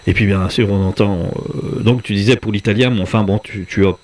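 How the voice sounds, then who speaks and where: Agreeable male radio host, low voice. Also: fairly even intonation, a little fast, a little mellow. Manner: agreeable, frequent short affirmations. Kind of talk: relaxed radio interview.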